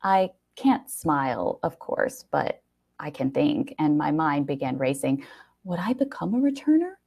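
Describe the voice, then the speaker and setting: A woman reading a short story aloud in an expressive, wry first-person narration with dramatic pauses. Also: low voice, creaky, slightly rough.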